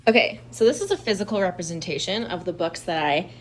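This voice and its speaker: A woman's voice: on the low side, fast talker, a little hiss or hum, low-quality recording. A woman chatting casually as a book vlogger, in an informal, rambling style where she often interrupts herself. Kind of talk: casual chatty vlog to camera.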